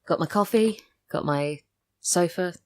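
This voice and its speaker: Very low-voiced woman chatting. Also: unhurried. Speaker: podcast guest, audio drama writer. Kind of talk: casual chat.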